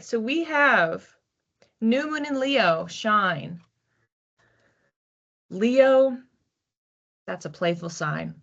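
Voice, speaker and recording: A woman's voice: medium pitch, gravelly, a bit bright, dull audio. A woman hosting a psychic card reading in a casual, conversational way, thinking aloud with informal phrasing as she addresses her audience. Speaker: psychic reader hosting a weekly collective reading.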